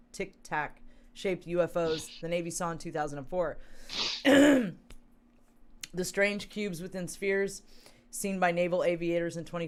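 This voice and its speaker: A woman co-hosting a casual talk show, hoarse and losing her voice, reading news stories aloud with lots of fillers and throat clearing. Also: lowish voice. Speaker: co-host.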